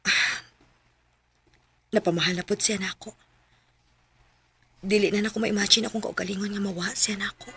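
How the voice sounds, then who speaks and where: An actress playing a gentle, affectionate married woman in love with an older man in a Cebuano radio romance drama, with a Cebuano accent. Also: medium pitch, steady tone, normal speed, long pauses, a little hiss or hum. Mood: wistful narration.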